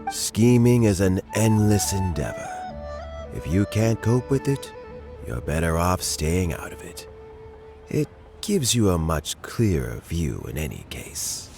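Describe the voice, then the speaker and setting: An older man playing a wise, measured elder adviser in a video game, deliberate, sage and expressive. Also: deep voice, exaggerated voice.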